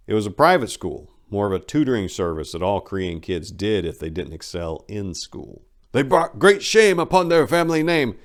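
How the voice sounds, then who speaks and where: A man reading a memoir aloud as host, with a theatrical, emphatic delivery. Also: fairly low pitch. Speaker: channel host and reader.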